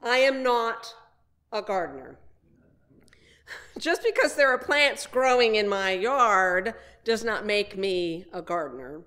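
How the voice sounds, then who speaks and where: A woman's voice: mid-range voice, fairly bright tone. A woman giving a conversational sermon built on personal stories, with self-deprecating humor. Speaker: minister preaching.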